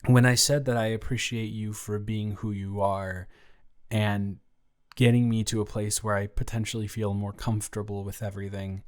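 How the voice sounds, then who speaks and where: Young male college student played by a man, nervous, sweet, awkward, halting delivery for intimate audio roleplay. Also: lowish voice, little pitch movement, smooth, lightly acted. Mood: vulnerable, tender. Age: young adult. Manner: halting, stammering, anxious, rambling. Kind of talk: intimate one-sided audio roleplay acting.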